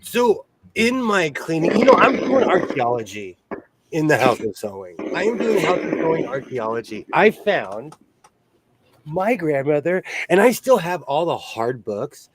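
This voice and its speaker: Male livestream host, slightly high voice, jokey and self-interrupting, casual conversational delivery. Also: big pitch swings, unhurried, slight background noise, poor audio. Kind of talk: casual chat.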